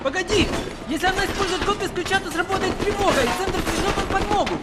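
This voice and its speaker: A frantic soldier in a game cutscene. His voice is strained very high and races along through loud gunfire noise. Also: rapid-fire. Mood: urgent, exasperated. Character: panicked, grumbling Kazakh male soldier under fire. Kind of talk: video game cutscene acting.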